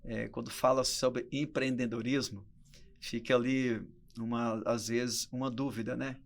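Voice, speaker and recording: A man's voice: medium pitch, level tone, measured pace, creaky. A Brazilian man in his early forties talking casually as a guest entrepreneur on a podcast. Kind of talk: casual conversational interview talk.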